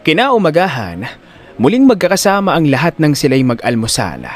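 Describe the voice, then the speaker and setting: A man's voice: on the high side, huge pitch swings, normal speed. A man narrating a scripted audio drama in a dramatic storytelling style.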